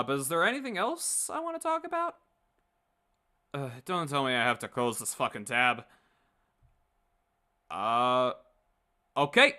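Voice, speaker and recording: A man's voice: mid-range voice, pushed and bright, clear, resonant tone. A man chatting casually to his viewers as a livestream host, joking around and swearing freely. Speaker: livestream host and comic dub creator. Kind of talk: casual rambling chat to viewers.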